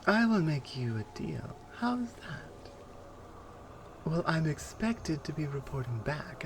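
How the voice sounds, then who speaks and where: A woman's voice: low-pitched, a little hiss or hum, heavily acted. A woman playing a big, strong Amazonian warrior in an ASMR roleplay, commanding and amused, with a teasing, condescending, self-assured way of talking.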